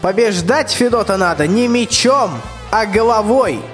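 A man's voice: high-pitched, measured pace, a bit bright. A male performer playing a bossy, threatening tsar who orders people about in folksy rhyme in a radio fairy tale. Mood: scornful, threatening. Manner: commanding, folksy rhymed speech. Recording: long reverb, music behind.

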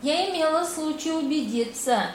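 Lady acting a wistful wry young single woman, high pitched, slow talking, roomy echo, audio play. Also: lively intonation. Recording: roomy echo, noisy birdsong ambience.

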